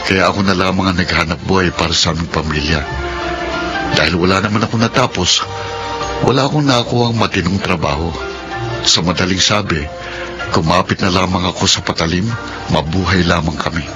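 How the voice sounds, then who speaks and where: A man's voice: sits low, a little fast, pauses often, close to an everyday voice. A man with a Filipino accent acting a struggling, proud young breadwinner and protective big brother in a radio drama. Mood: somber, resigned. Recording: music bed behind the voice.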